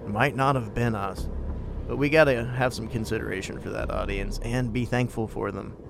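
A man hosting a podcast, giving casual solo film commentary with emphatic, drawn-out phrasing and a gravelly voice. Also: fairly low pitch, steady tone, noisy background. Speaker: podcast host.

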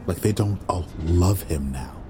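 A man's voice: deep voice, unhurried, background noise. A man playing a guilt-ridden, self-doubting young waiter with secret powers in an audio drama, brooding and hesitant. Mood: bitter, frustrated. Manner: brooding, rueful, hesitant with others. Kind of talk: audio drama acting with inner monologue.

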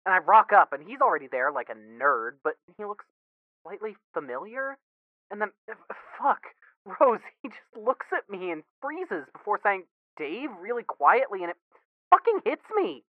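Man acting an excitable brother on a tinny phone. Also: sits high, normal speed, no echo. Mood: overwhelmed joy. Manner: swearing, stammering, blurting. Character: excitable, foul-mouthed young man, emotional and blurting brother. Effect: phone filter. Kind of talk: audio fan fiction drama dialogue. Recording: thin phone-like band, dry.

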